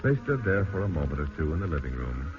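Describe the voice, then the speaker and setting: Very deep radio drama narrator. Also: male voice, very animated, fast. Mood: solemn, measured. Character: solemn male storyteller of a radio play. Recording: muffled old radio broadcast with music.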